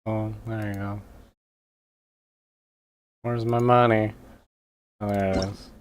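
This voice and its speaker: A game streamer chatting casually on a livestream, thinking aloud in a halting way while typing at a computer. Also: mid-range voice, level tone, no room sound. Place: indoors at a computer. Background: music, keyboard typing.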